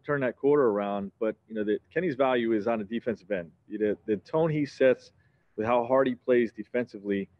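A man in his forties, a head coach giving measured postgame answers at a press conference, full of "you know" and "um" fillers. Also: fairly low pitch, highs cut off. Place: press conference backdrop, indoors.

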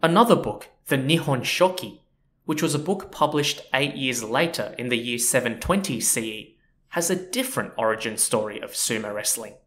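Man of medium pitch, casual podcast host, talks history in a loose chatty style. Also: normal speed. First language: not Japanese. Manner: relaxed and chatty, with frequent "you know" and "so yeah".

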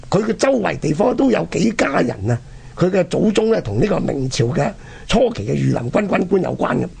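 A man's voice: fairly high pitch, creaky, a little mellow, slightly rough. A man with a Hong Kong accent hosting a history programme, telling stories colloquially and posing rhetorical questions. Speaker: radio history programme host. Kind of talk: animated historical storytelling.

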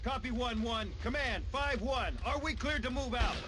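A man playing a brisk, determined squad leader in a military video game, speaking in clipped phrases. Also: extremely high pitch. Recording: game audio with loud battle noise. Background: loud gunfire.

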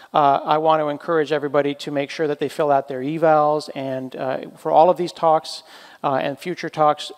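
A man with a fairly high voice hosts a live tech show, bantering with his guest in a playful, teasing way. There is a long reverb tail around his words, as if he is heard in a large space. His delivery stays upbeat and chatty. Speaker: event host. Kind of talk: casual hosted conversation.

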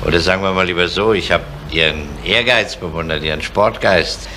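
A male actor playing a gruff, probing older police inspector in a 1970s German radio crime play, with a needling, insistent manner. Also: low voice, a little fast, sharp tone. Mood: polite, probing. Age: middle-aged. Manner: needling, insistent, with tag questions. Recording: noisy 1970s radio recording.

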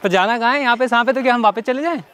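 A man's voice: very high voice, rapid-fire, fairly bright tone, a little hiss or hum. A young man talking casually and playfully to the camera while he travels, filmed outdoors with a camera at arm's length. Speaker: travel vlogger. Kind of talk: casual travel vlog chat. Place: outdoors at a site and in a car.

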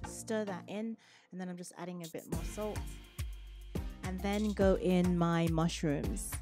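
Relaxed woman narrating a cooking video. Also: on the low side, a little slow. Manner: relaxed step-by-step instructions. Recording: voice-over with music underneath. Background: background music.

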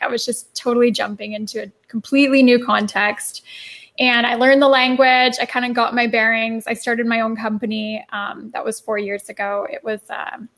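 A woman's voice: fairly high pitch, fairly bright tone. A young woman, a marketing consultant, answering interview questions in a chatty way with lots of fillers.

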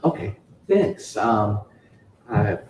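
A man giving a public talk at a bookstore event, speaking haltingly with frequent restarts and "you know"s. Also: lowish voice, sing-song, darkish tone, slight background noise. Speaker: guest speaker. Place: bookstore event space with audience. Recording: echoey room.

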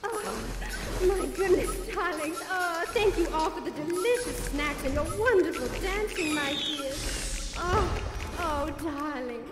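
A woman's voice: very high-pitched, lively intonation, takes it slowly, full character voice. A woman playing a gushing diva cat singer. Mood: gushing, theatrical. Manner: theatrical, gushing, endearments. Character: glamorous diva female cat cabaret singer. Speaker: variety show performer. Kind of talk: cabaret song and stage patter. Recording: echoey hall with music behind.

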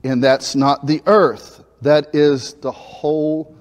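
A man, preaching a lively sermon in a church sanctuary on a lapel mic, acting out scenes as he moves about. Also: on the high side, slow. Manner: animated preaching, acts out biblical dialogue, moves about the stage. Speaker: pastor. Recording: reverberant church hall.

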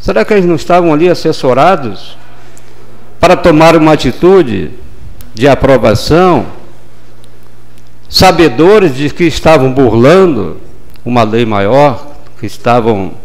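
A man in his sixties, a city councilman, giving a measured, formal speech at a desk microphone in a council chamber. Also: mid-range voice. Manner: measured, formal, deliberate pauses. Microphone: desk gooseneck microphone.

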